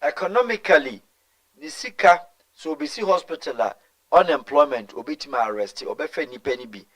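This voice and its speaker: Ghanaian guy in an interview, kinda high voice, pushes hard on points, keeps repeating "and, and". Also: animated, a little fast, a bit bright, low-quality recording. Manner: emphatic, switching to Twi, repeating words. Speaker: guest. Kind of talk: studio interview answer.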